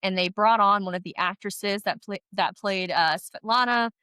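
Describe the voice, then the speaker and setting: A woman co-hosting a casual podcast chat, chatty and animated, sounding stuffy from a head cold. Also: medium pitch, moderate pace, clean tone, no echo, clean studio sound. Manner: chatty, animated, laughs often. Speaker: podcast co-host.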